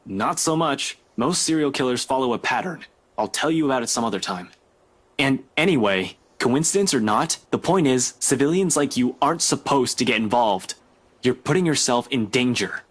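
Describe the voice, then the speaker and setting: A man's voice: mid-range voice, expressive, moderate pace, natural voice. An actor playing an irritable, dismissive male police investigator in a visual novel game, speaking curtly and bluntly. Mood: exasperated, dismissive. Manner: curt, exasperated, dismissive.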